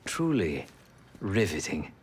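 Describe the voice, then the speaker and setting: An actor playing a suave, theatrical, verbose intelligence operative in a video game, speaking in a courtly manner. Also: male voice, low voice, big pitch swings, slow, husky, heavily acted. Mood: smug, amused. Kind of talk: video game character dialogue.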